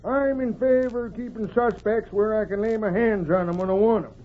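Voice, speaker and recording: Actor as a gruff, high-pitched, bossy frontier sheriff. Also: male voice, a little fast, natural voice. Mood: commanding. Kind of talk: 1940s radio western acting. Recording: dull, noisy old radio recording.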